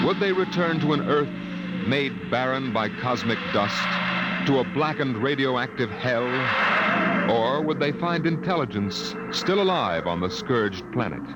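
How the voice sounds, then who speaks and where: A man narrating in grave, deliberate 1950s radio announcer style, dramatic and scripted. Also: medium pitch, heavy background noise. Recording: vintage 1950s radio broadcast recording. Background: loud dramatic music.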